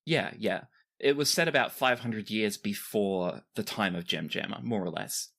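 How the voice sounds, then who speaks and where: Expressive male game master. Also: on the low side, normal speed, vocal fry. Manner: explanatory, chatty asides. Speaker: game master of a tabletop role-playing podcast. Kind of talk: narrating a tabletop game, conversational.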